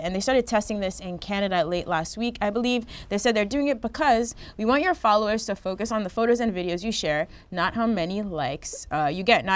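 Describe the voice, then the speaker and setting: A woman moderating a talk show, introducing stories and putting questions to her co-hosts in a casual style. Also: mid-range voice. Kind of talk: casual news-segment hosting.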